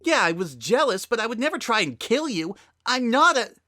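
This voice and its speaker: A wounded, remorseful young female pony from a story reading, played by a man. Her voice sits high and quivers as she pleads. The sound is dry and very expressive. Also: normal speed, no echo, exaggerated voice. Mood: desperate yelling.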